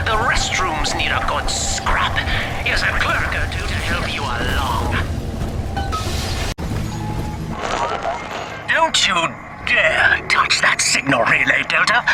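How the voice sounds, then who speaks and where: A man's voice: high-pitched, roomy sound, long reverb tail, recorded from a distance, low-quality recording. An actor playing an unhinged, mutated company boss in a video game, a pompous showman taunting an intruder with theatrical menace. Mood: scornful, scolding. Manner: pompous showman, swooping between purring menace and shrieks.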